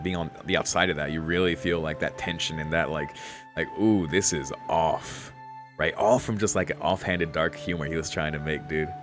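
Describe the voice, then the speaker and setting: A young man giving casual game commentary as a streamer, musing in a laid-back, slangy way and reading text aloud. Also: rumbling low. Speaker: streamer playing a visual novel.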